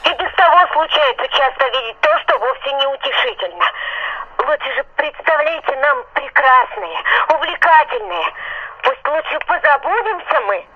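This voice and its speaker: A woman's voice: high voice, moderate pace, muffled recording, close to an everyday voice. An actress playing a genteel, indignant lady reader who protests theatrically in an audio drama. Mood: plaintive reproach.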